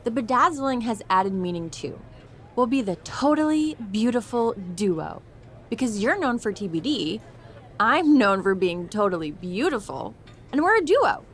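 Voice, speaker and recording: A woman's voice: fairly high pitch, sing-song, unhurried. A woman playing a vain, self-absorbed young pro wrestler in a video game, breezy and gushing with a sugary passive-aggressive edge. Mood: proud, smug. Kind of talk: video game cutscene acting.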